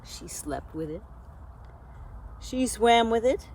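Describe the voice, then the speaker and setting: A woman narrating a children's storybook expressively, like a school librarian, with deliberate dramatic emphasis. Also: on the high side, animated, a little mellow, a little hiss or hum, poor audio. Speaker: school media specialist reading a storybook.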